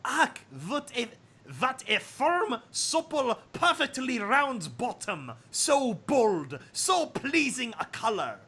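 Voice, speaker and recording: A man voicing a pretentious teenage girl photographer with theatrical, drawn-out admiration in a comic story reading. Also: extremely high pitch, very animated, pushed and bright, husky, pitched above the performer's own voice, full character voice. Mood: admiring, gushing.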